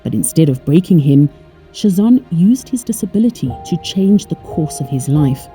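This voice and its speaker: A narrator reading a scripted documentary introduction in an even delivery. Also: medium pitch, normal speed, faint music behind, rough recording.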